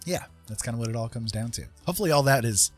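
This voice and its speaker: A man's voice: medium pitch, expressive, rapid-fire. A man chatting casually as he streams his painting, rambling and thinking aloud in a self-deprecating way. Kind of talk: casual livestream chat while painting. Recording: music in the background. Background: music.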